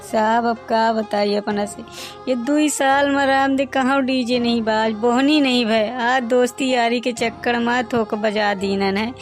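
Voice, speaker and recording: A man playing a meek, apologetic villager caught by police in a comic cartoon, with a Bagheli accent and a pitched-up voice. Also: fairly even intonation, a little slow, soft background music. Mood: pleading. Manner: deferential, apologetic.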